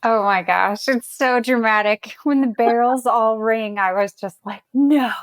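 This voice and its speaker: A woman's voice: fairly high pitch, measured pace, no room sound. A woman hosting a podcast, chatting casually in an enthusiastic, appreciative way. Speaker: podcast host. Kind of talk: casual interview chat.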